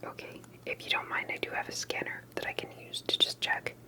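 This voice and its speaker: A woman performing a whispered ASMR roleplay as a secretive, cautious investigator, speaking in a hushed and hesitant way with soft pauses. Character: secretive, cautious investigator interviewing an alien abductee.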